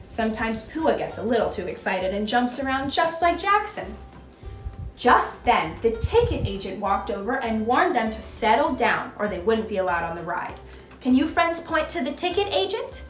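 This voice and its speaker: A young woman hosts a children's storytime, narrating and quizzing little listeners. Her voice is high and very animated. It is recorded in an echoey room. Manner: expressive, instructive, addressing small children. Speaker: costumed storytime host reading as a princess.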